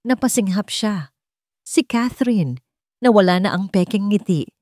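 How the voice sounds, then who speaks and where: A woman's voice: medium pitch, animated, measured pace, darkish tone, dry recording, clean studio sound. A woman narrating a dramatic scripted love story.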